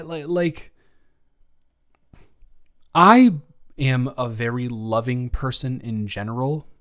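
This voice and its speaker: A young man playing a nervous, loving male college student in an improvised intimate audio roleplay, speaking in halting, rambling runs with stammers and restarts. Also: on the high side. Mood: hesitant, tender.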